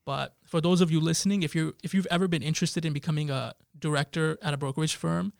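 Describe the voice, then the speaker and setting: A man's voice: fairly high pitch, fairly even intonation, fast, gravelly, no echo, clean studio sound. A man in his twenties hosting a podcast, chatting upbeat and casual with a guest. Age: 20s. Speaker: podcast host. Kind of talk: casual interview chat.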